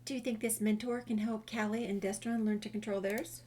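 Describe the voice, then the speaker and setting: A woman's voice: mid-range voice, fairly even intonation, fairly quick, dark tone, slight background noise. A woman doing a casual game walkthrough, reading dialogue choices aloud and commenting. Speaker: gamer narrating a walkthrough.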